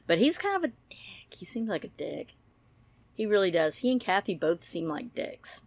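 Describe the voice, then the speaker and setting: A woman's voice: mid-range voice, very animated, moderate pace, darkish tone, dry recording. A woman giving casual, blunt, opinionated commentary in a rambling way, with some mild swearing. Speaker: true-crime YouTube commentator.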